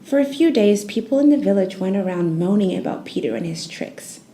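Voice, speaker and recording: A woman storyteller reading a children's bedtime tale in a gentle, expressive, soothing narration style. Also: medium pitch, a little fast, slight background noise.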